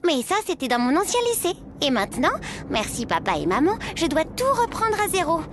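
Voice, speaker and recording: High-voiced, chatty teenage schoolgirl, cartoon. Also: big pitch swings, a little fast, noisy background. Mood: earnest, insistent. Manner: talkative, emphatic, colloquial. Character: chatty, ambitious sixteen-year-old schoolgirl, earnest and self-dramatizing. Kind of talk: animated series voice acting.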